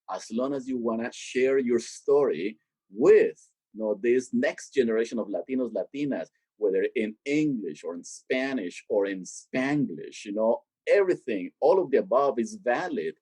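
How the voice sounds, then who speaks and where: A man's voice: mid-range voice, no echo, rough recording. A man speaking as a theologian guest in an interview, explaining his work in a conversational way and growing emphatic as he makes his points. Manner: grows animated and emphatic when making a point. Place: lives in Boston.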